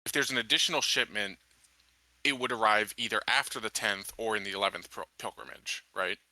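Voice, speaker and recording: A man's voice: lowish voice, fairly quick, pushed and bright, no room sound, poor audio. A man playing a tabletop game, casually summing up and confirming details in a matter-of-fact way.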